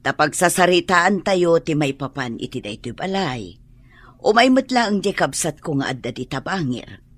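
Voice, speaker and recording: An actress with a Filipino accent playing a measured, authoritative older landlady in a radio drama, with a husky voice. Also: deep voice, very animated, no echo. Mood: measured, serious.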